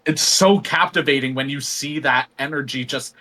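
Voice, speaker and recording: A man talking as a guest singer in a casual interview, thoughtful and rambling with many pauses and ums. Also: mid-range voice, bright, forceful tone.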